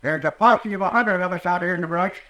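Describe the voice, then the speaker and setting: A man's voice: high voice, quick pace, fairly bright tone. A man acting an ornery, blustering old frontier father with a rural American accent in a 1950s western radio drama. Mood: threatening. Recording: vintage radio drama recording.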